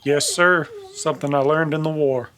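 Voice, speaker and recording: Polite american cowboy, war vet in a western radio drama, slightly high voice, bit hesitant. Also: male voice, fairly even intonation, moderate pace, sharp tone.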